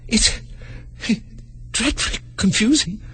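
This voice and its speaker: A man's voice: high voice, expressive, slow, a bit bright, husky, pitched above the performer's own voice, heavily acted. A man voicing a polite, curious young girl in a storybook reading, asking earnest, careful questions. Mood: puzzled.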